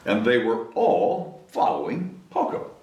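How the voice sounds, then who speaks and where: A man's voice: medium pitch, wildly swooping pitch, takes it slowly, darkish tone, dull audio. A man reading a children's story aloud expressively, with chatty asides to young listeners. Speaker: storybook reader, city mayor. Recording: roomy echo, distant mic, faint noise.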